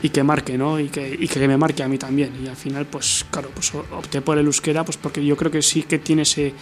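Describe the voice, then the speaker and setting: A middle-aged musician from Bilbao, answering interview questions thoughtfully, with many fillers and tag questions. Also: male voice, a little high, level tone, a little fast, slight background noise. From Bilbao, Spain. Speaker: musician guest.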